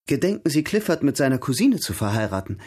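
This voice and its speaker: Lebhafter junger Gentleman, belegte Stimme. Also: mid-range voice, big pitch swings, quick pace, husky, no room sound, clean studio sound. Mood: polite, persuasive. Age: young adult. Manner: polite, eloquent, teasing. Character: charming, eloquent young gentleman, lively and playful. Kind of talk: radio drama dialogue, period literary adaptation.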